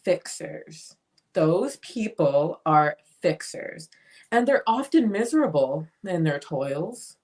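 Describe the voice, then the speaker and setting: A woman's voice: deep voice, animated, takes it slowly, a little mellow. A woman playing a blunt, free-spirited, opinionated sister in a dramatic play reading. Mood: breezy, pointed. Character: blunt, free-spirited sister pushing self-liberation on her sibling.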